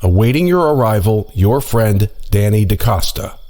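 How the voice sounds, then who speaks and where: A man's voice: on the low side, animated, moderate pace, darkish tone, soft background music, natural voice. A man playing an eager, polite, wide-eyed traveler, speaking earnestly in an audio drama. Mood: tired, pleased.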